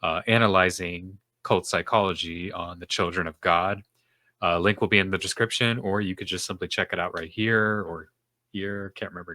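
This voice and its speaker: A man's voice: deep voice, pushed and bright, dry recording. A young man hosting a faith-based podcast as a self-esteem coach, talking in an earnest, rambling way with lots of fillers, his nose stuffed up. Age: young adult. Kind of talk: casual solo podcast monologue. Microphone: podcast microphone.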